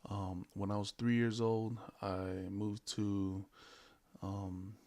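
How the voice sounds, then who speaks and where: A man's voice: fairly low pitch, level tone, slow, darkish tone, no room sound. A man in his late twenties from American Samoa and Hawaii, talking in a laid-back, hesitant, rambling way in an unscripted vlog. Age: late 20s. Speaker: vlogger. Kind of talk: casual unscripted vlog chat.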